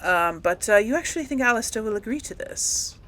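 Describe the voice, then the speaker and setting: A woman's voice: on the high side, lively intonation, a little fast, a bit bright. A woman hosting a casual role-play let's play, thinking aloud in a hesitant, amused way and speaking her character's replies. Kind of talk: casual game commentary, role-playing dialogue aloud.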